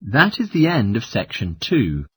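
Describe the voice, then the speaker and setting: Male exam announcer: rough-edged husky tone, formal scripted instructions, expressive pitch movement. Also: a little slow.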